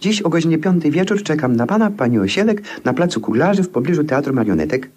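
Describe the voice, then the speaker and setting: A high-voiced, pompous dandy. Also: male voice, very animated, fast talker, a little mellow, slightly rough. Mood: agitated. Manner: pompous, theatrical self-presentation. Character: excitable, dandyish gentleman, a maker of false noses. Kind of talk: 1950s grotesque radio play acting. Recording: 1957 radio play recording.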